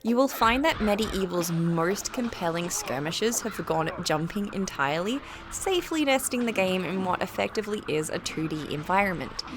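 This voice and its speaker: A British woman reading a scripted review as narration, in a measured, articulate and formal way. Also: medium pitch, lively intonation, background noise.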